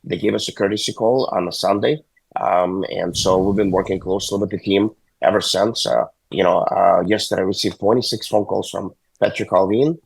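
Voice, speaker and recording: A hoarse, sick man calls in from a hospital bed, his voice low and gravelly with a husky edge. He talks haltingly, full of fillers and restarts, and the sound is dry and close. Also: steady tone, no echo, poor audio. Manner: halting, many uhs and restarts. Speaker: hockey agent guest. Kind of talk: phone-in interview. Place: hospital room. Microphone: phone call.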